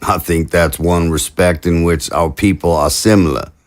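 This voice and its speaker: An actor playing an affable, diplomatic zombie president with a folksy, slightly hesitant manner in an audio drama. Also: male voice, deep voice, steady tone, moderate pace, lightly acted. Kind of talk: dramatic dialogue acting.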